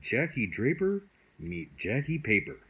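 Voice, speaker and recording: A man's voice: mid-range voice, sing-song, measured pace, husky, phone-quality sound, exaggerated voice. A man voicing a cheerful, kindly magic dragon in a bedtime storybook, chatty, playful and grandly whimsical. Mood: gentle, showy.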